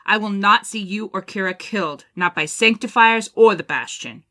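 Commanding, forceful female colonel, acted by a woman. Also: mid-range voice, moderate pace, close to an everyday voice. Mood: earnest, urgent. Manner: authoritative, formal, firm. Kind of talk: audio drama acting.

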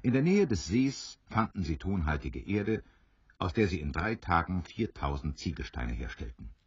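A man with an extremely deep, smooth voice narrates an adventure audio drama. His measured storytelling moves expressively up and down, in a dry, slightly dull recording. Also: big pitch swings, dry recording.

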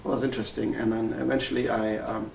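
A man's voice: on the low side, level tone, fast talker, creaky, darkish tone. German-accented man, roomy distant sound. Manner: earnest, many ums and self-corrections. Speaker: family physician. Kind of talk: interview storytelling. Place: wood-paneled room indoors. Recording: roomy, slightly distant, faint hiss.

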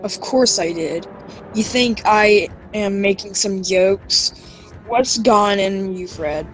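An amateur actor playing an anxious, accusing restaurant worker in a horror audio drama, with halting, stilted delivery. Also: mid-range voice, fairly bright tone, faint music behind. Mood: anxious, questioning.

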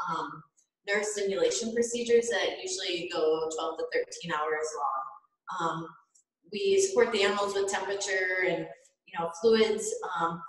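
A woman in her forties giving a matter-of-fact, informal explanatory tour of a research facility, recorded on a phone. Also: medium pitch. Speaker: research facility staff guide. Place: indoor tiled surgery and recovery rooms. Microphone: handheld phone a few feet away. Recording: echoey hard-walled room, phone video.

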